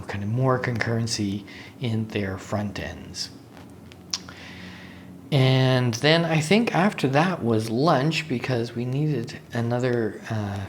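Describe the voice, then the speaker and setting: A man with a slightly raspy voice giving a casual, unscripted recap for a vlog, pausing to search for words and drifting into asides. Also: mid-range voice. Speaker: vlogger, software developer. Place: hotel room. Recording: faint steady room noise.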